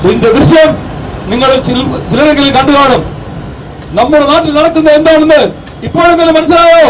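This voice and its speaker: A man delivers a declamatory public speech in an extremely high pitch. He exhorts the crowd with rhetorical questions. The mic sounds distant, with faint outdoor street noise behind him. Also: flat delivery, brisk. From Kerala, India. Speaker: activist, public speaker. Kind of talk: impassioned public address.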